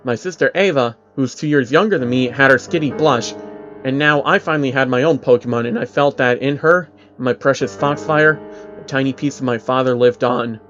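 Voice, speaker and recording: A man's voice: medium pitch, fairly quick, fairly bright tone. A man reading a scripted, dramatic story as narrator, on a slightly dull lo-fi recording with music behind him. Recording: dull lo-fi audio under music.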